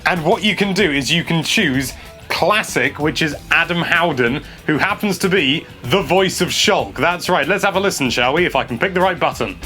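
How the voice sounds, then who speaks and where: A young man hosting a casual gameplay commentary, chatty and excitable, stumbling over his words and laughing a lot. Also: on the high side, normal speed, faint music behind. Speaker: games journalist host. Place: small indoor room.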